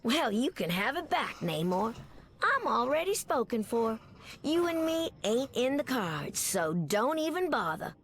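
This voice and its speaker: An actress playing a sassy, flirty superheroine with a Southern American accent and folksy pet names, in a video game. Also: female voice, fairly high pitch, sing-song, measured pace, fairly bright tone, heavily acted. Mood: sassy, confident.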